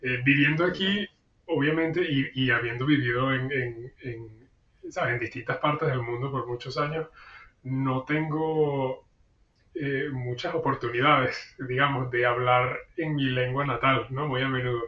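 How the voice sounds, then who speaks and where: A man's voice: medium pitch, fairly even intonation, a little slow, echoey room, distant mic, highs cut off. A man being interviewed over a video call, answering thoughtfully with many fillers and restarts. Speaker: guest. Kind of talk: casual interview.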